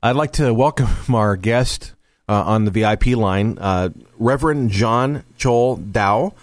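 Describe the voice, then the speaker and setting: A man's voice: lowish voice, measured pace, dry recording. A man hosting a radio talk show from a studio, earnest and rambling with restarts.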